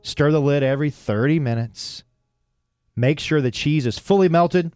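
A man on a morning radio show giving a deliberate mock-dramatic recipe reading with dry asides. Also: fairly high pitch, a little mellow, clean tone. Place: radio studio. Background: music under the voice.

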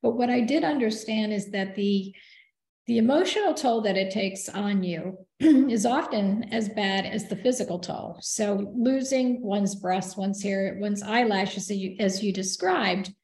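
A woman in a conversational interview, losing her voice, earnest and measured, who clears her throat often. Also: medium pitch, a little mellow, reverberant. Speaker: guest.

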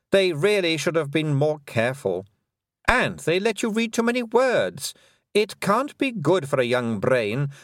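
A man's voice: on the high side, dry recording, clean studio sound, voice pushed up. A man voicing a silky, mocking fairy queen. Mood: cruel. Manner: mocking, condescending, sweetly cruel. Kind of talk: audiobook character voice.

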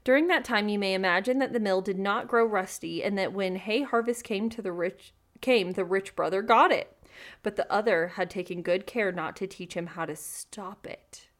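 A woman's voice: medium pitch, moderate pace. A woman co-hosting a podcast, reading a folktale aloud with casual, irreverent and sweary asides.

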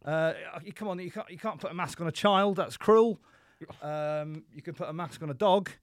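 A man from Yorkshire, a podcast guest chatting in character with deadpan, self-mocking comic asides. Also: on the high side, moderate pace, no echo, studio quality. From Yorkshire, England. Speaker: podcast guest, wrestler and comedian.

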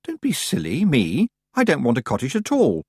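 A man voicing a sensible, quietly stubborn young girl witch in a storybook, plain-spoken and politely stiff. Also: mid-range voice, huge pitch swings, moderate pace, slightly rough, dry recording, studio quality, voice pushed up, exaggerated voice. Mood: startled, dismissive.

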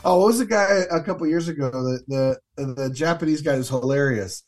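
A man's voice: on the high side, brisk, darkish tone. A man chatting casually about sports in a wry, easygoing way.

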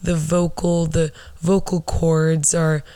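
A young woman with a low alto voice, telling rambling, profane, self-mocking stories as a podcast host. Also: level tone, slow pace, mellow, dry recording. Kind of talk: casual storytelling monologue.

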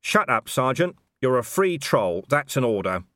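A man voicing a gruff, commanding city watch officer in an audiobook, with clipped orders and sarcastic bite. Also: a little high, sing-song, normal speed, fairly bright tone, dry recording, studio quality, pitched above the performer's own voice. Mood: brisk, commanding.

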